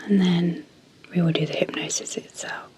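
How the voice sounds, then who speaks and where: A woman speaking softly as an ASMR host, guiding sleep hypnosis in a hushed, gentle, deliberate way with long pauses. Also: fairly low pitch, flat delivery. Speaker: ASMR host and clinical psychologist.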